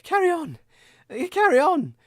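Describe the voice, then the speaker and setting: Man playing an ailing, high-voiced, melodramatic radio host. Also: wildly swooping pitch, no room sound, studio quality, full character voice. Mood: feeble, struggling. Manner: faltering, halting delivery. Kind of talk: comic radio acting.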